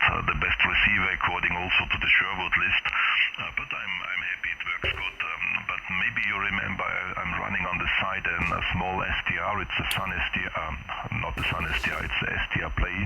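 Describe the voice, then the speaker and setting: A man from Austria, chatting as a ham radio operator. He speaks carefully and haltingly, often pausing to find his words. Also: low voice, vocal fry, highs cut off. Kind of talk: casual radio chat. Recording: noisy radio transmission.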